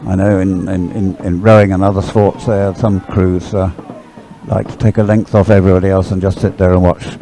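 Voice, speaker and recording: A man's voice: low voice, little pitch movement, normal speed, mellow, rough recording. A man doing live sports commentary in a chatty, hesitant, rambling way.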